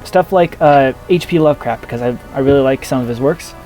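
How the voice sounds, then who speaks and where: A man's voice: medium pitch, brisk, dark tone, faint music behind, poor audio. A young man hosting a casual podcast, hesitant and filler-heavy, steering the talk with jokes. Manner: hesitant, full of fillers, jokey. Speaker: podcast host.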